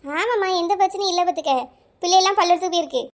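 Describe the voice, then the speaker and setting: A woman's voice: very high-pitched, racing. A woman playing a concerned village neighbour in a comic cartoon, explaining plainly with a southern Tamil Nadu accent.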